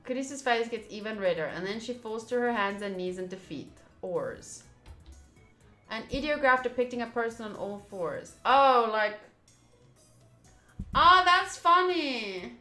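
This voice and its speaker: A woman's voice: fairly high pitch, animated, unhurried, pushed and bright, echoey room, soft background music. A woman streaming a visual novel, reading the text aloud and breaking into chatty reactions as she goes. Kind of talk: playthrough commentary, reading on-screen text aloud.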